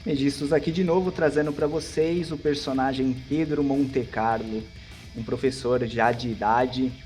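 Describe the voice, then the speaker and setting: A man's voice: mid-range voice, darkish tone. A man, a role-playing player, introducing his character to listeners.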